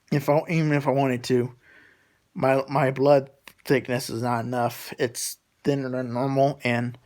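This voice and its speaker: A man in his late twenties talks off the cuff as a vlogger. His pitch is middling and stays fairly flat. He rambles from one thought to the next, often restarting and repeating himself in a casual, conversational style. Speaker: vlogger talking to subscribers.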